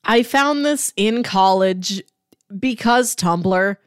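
A young adult woman co-hosting a podcast in relaxed, conversational chat. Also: mid-range voice, slow pace, clean tone, no room sound, clean studio sound. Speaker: podcast co-host. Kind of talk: casual chat.

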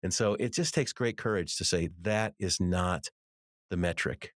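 A man's voice: sits low, a little slow, no echo, clean studio sound. A middle-aged American man speaking as an interview guest, earnest and conversational, telling anecdotes and repeating words for emphasis. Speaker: interview guest, author and ministry teacher.

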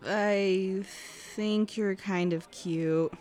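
A woman's voice: mid-range voice, fairly even intonation, drawn-out words, darkish tone. A woman playing an awkward, guarded seventeen-year-old girl with a hesitant, self-conscious manner in a fiction podcast. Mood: flustered. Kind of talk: audio fiction podcast acting.

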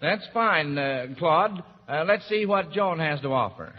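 A man's voice: fairly high pitch, lively intonation, measured pace. A man hosting an old radio quiz in a formal, encouraging manner. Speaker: quiz show host. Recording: muffled old radio broadcast, hall echo, audience noise.

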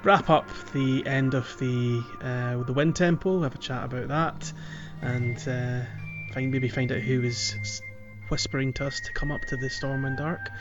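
Male podcast host. Casual, thinks aloud, average pitch, clear music bed underneath. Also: steady tone, normal speed, few pauses, lo-fi sound. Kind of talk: casual gaming podcast chat. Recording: music playing behind the voice.

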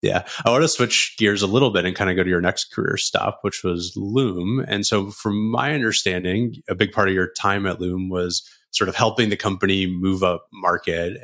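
A man's voice: low-pitched, a bit bright. A man hosting a podcast interview in a casual, chatty style.

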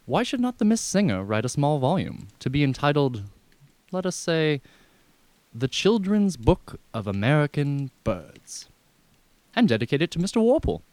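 A man playing an unflappable, deferential valet in a radio comedy, speaking in formal, measured, wordy sentences. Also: fairly high pitch, big pitch swings, darkish tone, no room sound. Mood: composed, explanatory.

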